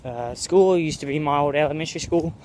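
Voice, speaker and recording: A man's voice: on the high side, brisk, darkish tone, a little hiss or hum. American guy faking a British accent. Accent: American speaker putting on a mock British accent. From United States. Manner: jokey and meandering, full of fillers and self-corrections. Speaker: vlogger host. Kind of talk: rambling walk-and-talk vlog. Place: outdoors on a school campus.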